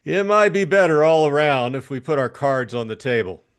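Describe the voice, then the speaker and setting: A tough, cynical private eye from a hard-boiled crime story, acted out by a male reader. He talks in blunt slang with a crisp, needling edge. The pitch is raised and moves about freely. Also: animated, normal speed.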